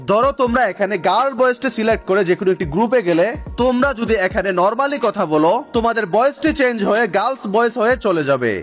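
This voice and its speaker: A man narrating a gaming tutorial, speaking directly to viewers in an instructional way. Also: sits high. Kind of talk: energetic scripted tutorial narration. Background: music.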